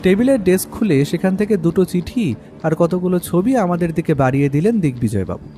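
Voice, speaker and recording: A man's voice: high-pitched, big pitch swings, fairly quick, dark tone. A man playing a detective's reflective companion, narrating a story in the first person. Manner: literary, reflective. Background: background music.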